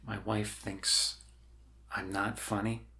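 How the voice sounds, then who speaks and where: A man playing an awkward, apologetic doctor in an ASMR roleplay, talking in a halting, rambling, reassuring way. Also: fairly low pitch, flat delivery, pushed and bright, exaggerated voice. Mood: sheepish. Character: awkward, apologetic, bumbling male doctor welcoming an alien.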